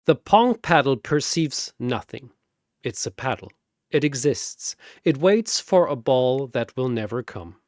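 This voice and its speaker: A man narrating a scripted comic fantasy battle in a theatrical, deadpan manner. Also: medium pitch, unhurried, dry recording, studio quality.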